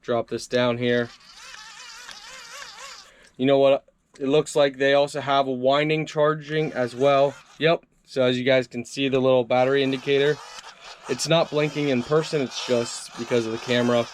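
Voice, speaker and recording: A man's voice: mid-range voice, steady tone, normal speed, a little hiss or hum. A man doing a casual, upbeat hands-on product review, chatting to his viewers as he tries things out.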